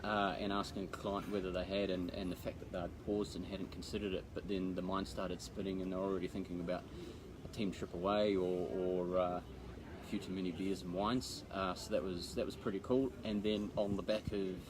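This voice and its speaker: Low, blokey Kiwi man over background noise. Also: flat delivery, fairly quick. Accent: New Zealand. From New Zealand. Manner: blokey, informal, slangy. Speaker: accountant and business adviser co-hosting a video. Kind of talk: casual chat to camera for a live social video.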